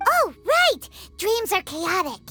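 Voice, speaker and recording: An extremely high, squeaky game fairy, tiny, childlike and excitable. Her pitch leaps around as she exclaims in earnest, with music clearly playing behind her. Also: slow pace, full character voice. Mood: excited. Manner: speaks of herself in third person, exclamatory. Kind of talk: video game voice acting.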